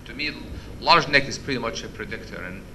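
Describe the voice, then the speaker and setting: A man with a loud voice giving an interactive medical lecture, quizzing the audience and restarting his sentences often. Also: mid-range voice, animated, brisk, slight background noise. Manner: questions the audience, many restarts. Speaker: physician lecturer. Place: conference hall.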